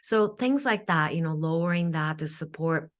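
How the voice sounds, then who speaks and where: A woman with a Japanese accent and a deep, low voice speaks as an interview guest. Japanese is her first language, and she explains things earnestly and at length, often repeating a word when she restarts a phrase. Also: expressive, normal speed. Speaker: guest, functional nutritional therapist.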